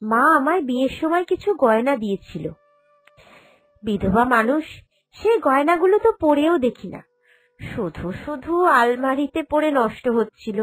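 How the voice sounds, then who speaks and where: A woman's voice: high-pitched, expressive, moderate pace, rough recording. A woman voicing a sensible, caring young woman of the family in a cartoon drama, earnest and reassuring. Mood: reassuring, explaining. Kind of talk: Bengali cartoon drama dubbing.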